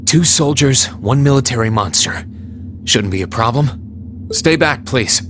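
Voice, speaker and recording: An actor playing an earnest, protective young swordsman in a video game, polite and steady in manner. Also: medium pitch, unhurried, background noise, natural voice. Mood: focused.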